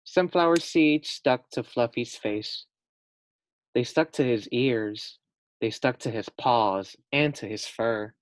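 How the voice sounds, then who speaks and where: A man's voice: medium pitch, dry recording. A man reading a children's storybook aloud as a teacher, speaking simply and stepping out for casual asides to young listeners. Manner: slow, simple phrasing, addresses children directly.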